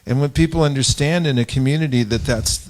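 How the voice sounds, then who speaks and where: A man speaking as a religious teacher in an informal group discussion, explaining his thoughts in a halting, reflective way. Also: mid-range voice, darkish tone. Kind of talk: informal spiritual discourse.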